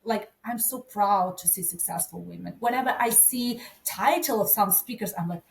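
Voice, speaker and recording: A woman's voice: medium pitch, moderate pace, gravelly, background noise. A woman in her forties from Ukraine, speaking English with a Ukrainian accent as a guest, enthusiastic and full of "like"s. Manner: enthusiastic, filler-heavy speech with many "like"s. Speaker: guest, event organizer. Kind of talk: conversational interview.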